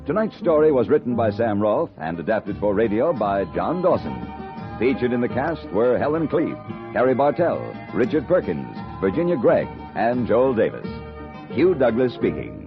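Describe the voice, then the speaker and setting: A man's voice: mid-range voice, big pitch swings, moderate pace. A man announcing in a formal, emphatic, scripted 1950s radio style. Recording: dull lo-fi old radio, music behind.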